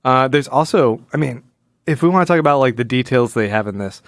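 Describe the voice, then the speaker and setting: A man's voice: mid-range voice, expressive, fairly quick, dry recording, clean studio sound. A man hosting a casual comic book podcast in a wry, jokey way, often acting out imagined dialogue. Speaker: podcast host.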